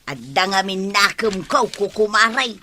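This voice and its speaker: A woman's voice: mid-range voice, lively intonation, unhurried, sharp tone, slight background noise. A woman acting a plaintive, chiding village housewife in a comedy radio drama, with an emphatic, sighing manner.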